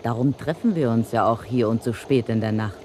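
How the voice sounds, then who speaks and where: A woman's voice: very deep voice, fairly quick, dark tone. Street-wise female blackmailer, cheeky, slangy, taunting, radio crime drama, faint background noise. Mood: smug, threatening. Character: brazen, street-wise underworld woman, a scheming blackmailer. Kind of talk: German radio crime play acting.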